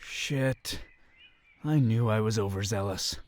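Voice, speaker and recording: An actor playing a disciplined, dry-witted young crusader in a medieval fantasy audio drama, speaking in measured, formal phrases. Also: male voice, on the low side. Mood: tense self-doubt. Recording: faint background noise.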